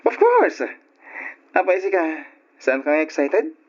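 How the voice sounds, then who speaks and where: A young male college student, upbeat and playful, with a high voice that swings widely in pitch. His accent is Ilocano and his delivery is old radio drama. The sound is muffled with faint noise. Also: huge pitch swings, slow pace, exaggerated voice. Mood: excited, playful. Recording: noisy, muffled lo-fi radio recording.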